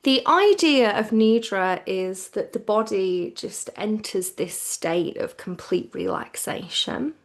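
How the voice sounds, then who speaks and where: A woman leads a guided relaxation as a yoga teacher. Her delivery is very slow and soothing, with plenty of creak in the voice. She speaks in a hushed way, her phrases softening toward a whisper. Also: medium pitch, lively intonation.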